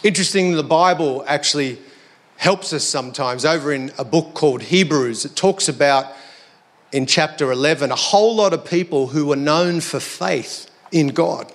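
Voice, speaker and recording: An Australian man giving a sermon in a conversational, reflective way, his voice a little hoarse and croaky. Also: fairly high pitch, moderate pace. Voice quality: slightly croaky. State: slightly hoarse. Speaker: preacher. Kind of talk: sermon to a congregation.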